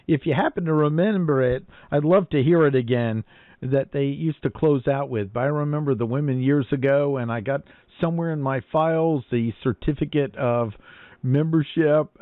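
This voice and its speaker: Phone-like, higher-voiced American man hosting a radio net. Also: no echo. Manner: appreciative, polite. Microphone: internet-linked ham radio transmission. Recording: narrow phone-like radio link audio.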